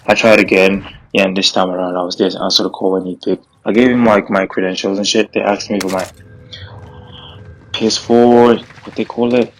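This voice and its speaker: Young man, very low voice, flat delivery, rambling vlog story, faint hiss behind. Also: a little fast, poor audio. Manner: rambling, fillers like "bro" and "like". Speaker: gaming vlogger.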